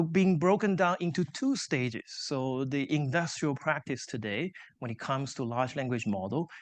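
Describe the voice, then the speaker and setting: Native Chinese-speaking male professor, slightly higher pitched, explaining in an easy lecturing manner with asides. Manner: explanatory, self-deprecating humor, frequent 'so-called' and 'right?'. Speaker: invited seminar speaker, engineering professor. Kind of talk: academic seminar lecture. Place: lecture room with live audience.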